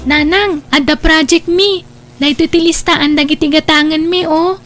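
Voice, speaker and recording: Woman acting a high-voiced, curious girl cousin. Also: steady tone, normal speed, over music, close to an everyday voice. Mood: casual. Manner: questioning, casual. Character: curious, sympathetic young student girl cousin. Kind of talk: Ilocano audio drama acting.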